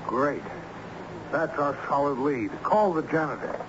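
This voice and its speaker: An American actor playing a brisk, scheming lawyer who talks commandingly and slangily in a radio drama. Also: male voice, fairly high pitch, lively intonation. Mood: impatient, bossy. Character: brisk, scheming male lawyer leading a search. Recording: muffled, noisy old radio drama recording.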